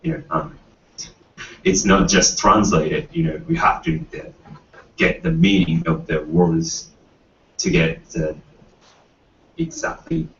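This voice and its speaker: A man's voice: sits low, big pitch swings, takes it slowly, vocal fry, pauses often, fairly bright tone, a little hiss or hum. A man on a student panel, leaning on "you know" as he searches for words. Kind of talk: panel answer. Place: conference room. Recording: echoey, distant, dull room audio.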